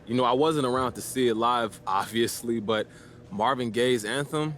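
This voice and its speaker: A man's voice: mid-range voice, normal speed, a bit bright, a little hiss or hum, close to an everyday voice. A man playing a young, easygoing but guarded basketball rookie in a video game, answering the press in a casual, hesitant, diplomatic way. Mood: thoughtful, easygoing.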